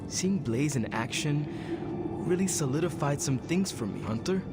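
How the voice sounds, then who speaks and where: An actor playing a brash but insecure young hero in a video game, talking in a casual, slangy, expressive way. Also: male voice, on the high side, measured pace, over loud music. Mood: earnest. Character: eager young vengeance-spirit hero, brash yet insecure. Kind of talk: video game cutscene dialogue.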